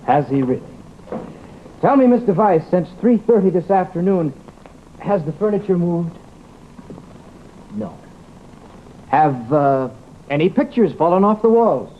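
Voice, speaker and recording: A gallant, rational American doctor from a vintage drama. His voice swings widely in pitch and sits fairly high. The old recording is muffled, with faint noise. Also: sing-song, unhurried, pauses often. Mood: triumphant, challenging. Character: confident, gallant male doctor and rational debunker.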